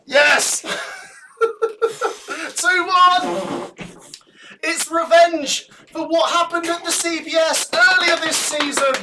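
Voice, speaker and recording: A man giving live fan commentary on a match, excitable and shouting at goals, his voice going hoarse and breathless. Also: very high-pitched, takes it slowly, long pauses, background noise. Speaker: watchalong host commentator.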